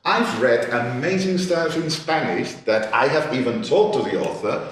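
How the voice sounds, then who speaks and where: A man, speaking as a panellist in a discussion, halting and restarting, repeating words as he searches for them. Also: mid-range voice, animated, slight background noise. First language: Catalan. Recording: echoey gallery room, distant mic.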